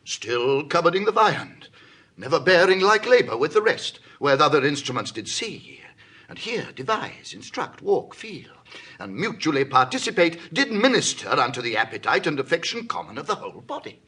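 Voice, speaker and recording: An actor playing a genial, wily Roman patrician in a Shakespearean drama, with a husky voice and a teasing, theatrical delivery. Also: male voice, fairly high pitch, big pitch swings, moderate pace, pushed and bright, full character voice. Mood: sly storytelling. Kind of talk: classical Shakespearean stage acting.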